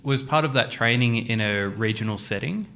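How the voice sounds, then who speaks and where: A man, narrating and hosting a medical podcast in a measured scripted read. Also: medium pitch, sharp tone.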